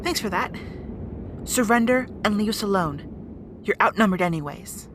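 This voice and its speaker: A woman's voice: mid-range voice, lively intonation, moderate pace, background noise, close to an everyday voice. A woman playing a young rat princess warrior in an audio drama, earnest and defiant. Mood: defiant, urgent.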